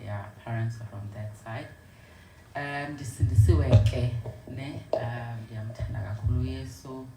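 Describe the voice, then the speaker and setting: A South African woman speaking as a podcast guest, animated and laughing as she mixes Xhosa and English. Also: rumbling low, a little slow, very noisy. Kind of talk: casual panel chat. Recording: echoey room with music behind.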